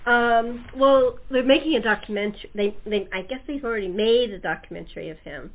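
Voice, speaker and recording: A fairly high-pitched woman talks through a thin, phone-like connection. She hosts in a casual, chatty style, repeating phrases for emphasis. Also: fairly quick. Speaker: talk show host. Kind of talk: casual interview chat. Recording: tinny narrow phone-like line.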